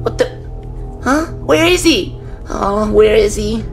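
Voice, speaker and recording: A woman's voice: on the high side, lively intonation, slow pace. A woman voicing a frightened young female animatronic chick in an amateur horror drama, breathless, melodramatic and pleading, with music behind her. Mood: worried searching.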